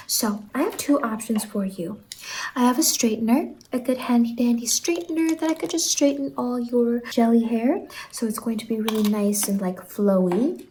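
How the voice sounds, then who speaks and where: A woman performing ASMR roleplay as a friendly salon stylist, speaking softly and reassuringly with some whispering, close to the microphone. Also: on the high side, slight background noise, poor audio. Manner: gentle, reassuring, addresses listener as a client. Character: friendly whimsical female stylist in a dream-world salon.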